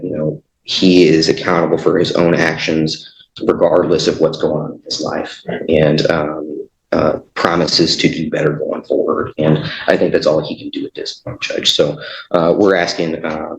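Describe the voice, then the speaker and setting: A man speaking as a defense attorney in court, arguing haltingly and hesitantly. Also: a bass voice, vocal fry. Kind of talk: argument in court. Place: echoey indoor courtroom. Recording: echoey room, muffled sound.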